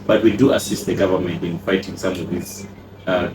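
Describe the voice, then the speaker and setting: A calm, reflective man with a low voice speaks in measured sentences as a guest. His voice rings in an echoey room, with steady noise behind him. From Uganda. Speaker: pharmacist and company founder, guest. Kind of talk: casual interview answers. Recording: echoey room, noisy background.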